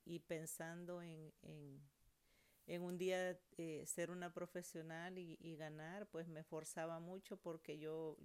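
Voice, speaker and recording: Woman, middle-aged, lower register, smooth clear voice, dry close sound, hesitant storyteller. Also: a little mellow, no room sound. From El Salvador. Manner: thoughtful, with many hesitations and repeated words. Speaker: interviewee, mother. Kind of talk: personal storytelling interview.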